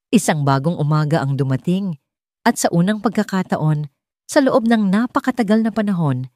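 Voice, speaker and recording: A woman's voice: lowish voice, dark tone, no room sound, clean studio sound. A woman narrating a scripted dramatic story as an audiobook reader.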